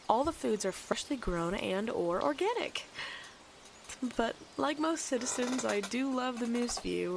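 A woman's voice: medium pitch, gravelly, a little hiss or hum. A woman playing a wry, conversational late-night radio host in an audio drama, in a small post-apocalyptic town.

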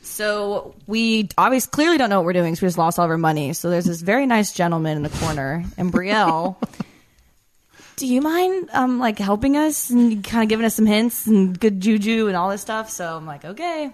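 A woman with a raspy, low voice, telling a story in casual chat. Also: normal speed. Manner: relaxed, chatty recounting with quoted asides.

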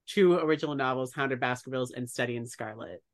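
Male podcast co-host, medium pitch, emphatic playful banter, echo-free sound. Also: fast talker, clean studio sound. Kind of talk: casual banter.

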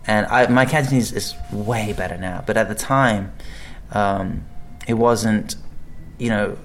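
A man's voice: fairly low pitch, measured pace, slight background noise. A man, an actor, chatting casually in an interview, rambling with many restarts and fillers. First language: English. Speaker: actor guest.

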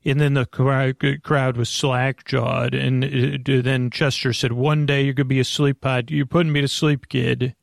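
A man hosting a bedtime podcast in a creaky, lulling voice, meandering and halting as he drifts off topic and loses his thread. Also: medium pitch, little pitch movement, no echo, clean studio sound. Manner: lulling, soothing delivery. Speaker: host of a bedtime sleep podcast. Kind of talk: rambling, off-topic bedtime monologue.

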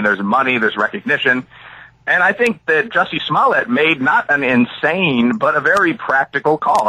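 Husky, bright-voiced American man, animated. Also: mid-range voice, no echo, low-quality recording. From Chicago area, United States. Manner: analytical, opinionated, hedging with asides and examples. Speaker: interview guest, academic and author. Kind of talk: discursive interview answers.

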